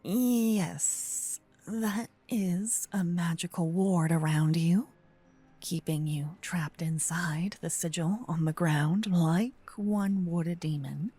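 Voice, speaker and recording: Woman acting a slow, breathy, possessive vampire protector. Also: on the low side, soft background music. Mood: urgent, earnest. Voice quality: soft, breathy, often whispering. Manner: slow, intimate, earnest, dramatic pauses. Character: gentle possessive female vampire kidnapper, self-proclaimed protector. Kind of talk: breathy close-up ASMR roleplay acting. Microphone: close binaural microphone, ear to ear.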